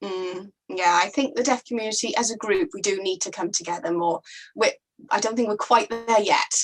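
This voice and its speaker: A woman giving voice to a deaf interviewer, speaking thoughtfully with unhurried pauses. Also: medium pitch, rough recording.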